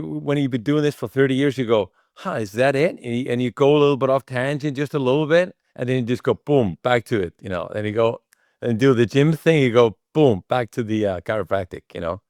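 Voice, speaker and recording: A man with an Icelandic accent talks in a loose, conversational way, as an interview guest would. His pitch sits in the middle of the male range. He rambles a little and acts out bits of dialogue, in a dry recording. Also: a little mellow, no echo. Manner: informal, rambling, acts out quoted remarks. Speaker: interview guest, chiropractic clinic owner. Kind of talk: casual conversational interview answers.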